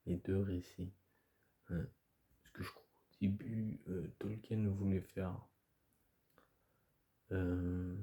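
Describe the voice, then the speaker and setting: A man talks casually to camera, hoarse and stuffy from a cold. His voice sits very low and he speaks slowly, stopping and restarting often. The chat wanders, full of fillers. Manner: hesitant, rambling, many fillers and restarts. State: hoarse, stuffy-nosed, coughing. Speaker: video creator.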